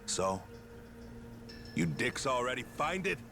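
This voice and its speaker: An actor playing a level-headed, dry-witted pirate crewmate in a video game cutscene. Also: male voice, medium pitch, big pitch swings, takes it slowly, vocal fry, music in the background, full character voice. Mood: businesslike.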